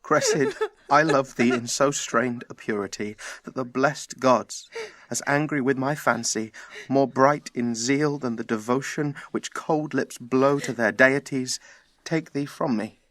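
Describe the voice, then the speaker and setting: A man's voice: medium pitch, measured pace, a bit bright, no echo, natural voice. A man playing an ardent, jealous young Trojan prince in love, delivering classical verse earnestly in an audio drama. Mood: grieving. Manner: earnest, declamatory.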